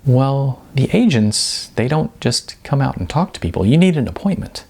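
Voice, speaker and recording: Brisk, condescending young receptionist played by a male reader, audiobook dialogue, lifted pitch, husky edge. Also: sing-song, darkish tone, full character voice. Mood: polite, dismissive. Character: young woman receptionist, brisk and dismissive gatekeeper.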